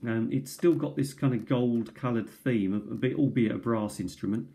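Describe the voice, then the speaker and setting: A man casually showing his cassette tape collection at a kitchen table, recorded on a tablet, pausing often with ums and restarts. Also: medium pitch, mellow. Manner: hesitant, many ums and restarts. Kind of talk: casual show-and-tell. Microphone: tablet camera mic.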